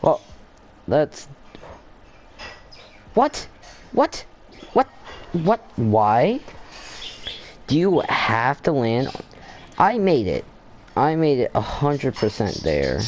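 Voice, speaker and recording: A young man giving casual, halting gameplay commentary, thinking aloud as he plays. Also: medium pitch, huge pitch swings, a little mellow, a little hiss or hum. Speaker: gaming video host.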